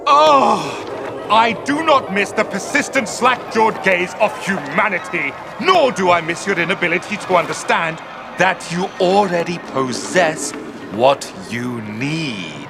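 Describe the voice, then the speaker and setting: Gravelly, theatrical ghost villain. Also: male voice, sits high, lively intonation, measured pace, over music, full character voice. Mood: scornful, menacing. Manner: pompous, grandiose, taunting. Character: menacing, boastful male ghost villain, theatrical and scornful. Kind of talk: video game villain acting.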